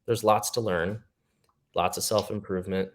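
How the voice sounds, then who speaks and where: Earnest, low-voiced male podcast guest. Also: fairly even intonation, moderate pace. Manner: earnest, reflective, optimistic. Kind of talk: casual podcast chat.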